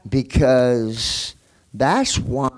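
A man's voice: mid-range voice, expressive, very slow. Male preacher teaching scripture, laid-back chatty delivery, chuckles a lot. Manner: chatty, laughs often, repeats words. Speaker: pastor. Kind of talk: teaching sermon.

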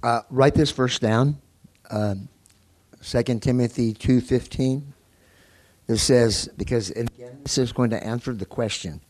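A man's voice: medium pitch, unhurried, darkish tone. Male preacher teaching scripture, laid-back chatty delivery, chuckles a lot. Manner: chatty, laughs often, repeats words. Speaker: pastor. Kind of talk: teaching sermon.

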